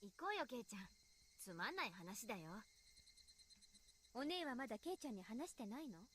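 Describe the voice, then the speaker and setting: A woman's voice: on the high side, very animated, a little fast, fairly bright tone, slight background noise. A woman voicing a bossy, guarded tomboy teenage girl in a visual novel, with a harsh edge. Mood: curt. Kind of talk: Japanese visual novel voice acting. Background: faint music.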